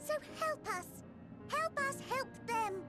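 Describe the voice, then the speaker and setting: An actor voicing a small, eager and earnest rabbit-like creature in a video game, excitable and pleading in manner. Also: male voice, very high-pitched, takes it slowly, over music, exaggerated voice. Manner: excitable, pleading, flowery British phrasing. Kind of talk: video game cutscene acting.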